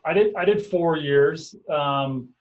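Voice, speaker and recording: A man from Montana, an engineering professor, answering questions in a relaxed, hesitant way on a video call. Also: a little high, takes it slowly. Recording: dull lo-fi video call audio.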